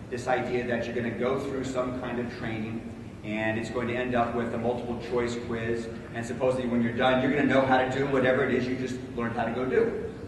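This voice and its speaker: A man with a big voice giving a conference talk, speaking casually with many fillers and calling on the audience for answers. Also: mid-range voice. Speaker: conference presenter. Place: large conference hall. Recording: echoey hall, distant mic, room noise.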